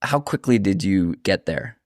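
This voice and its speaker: A man's voice: sits low, lively intonation, measured pace, no echo, studio quality. A man hosting a podcast, interviewing his guest in a casual, conversational style.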